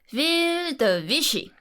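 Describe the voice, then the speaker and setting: A woman's voice: high voice, a bit bright, heavily acted. A young woman playing a town crier, declaiming an official announcement formally in a French radio play. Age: young adult.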